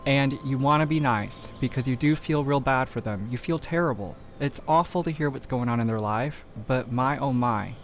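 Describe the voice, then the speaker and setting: A man's voice: medium pitch, normal speed, few pauses, a little hiss or hum. A man with a Southern American accent hosting a podcast, talking straight to the listener in an upbeat, encouraging, folksy way. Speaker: podcast host. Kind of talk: motivational podcast monologue.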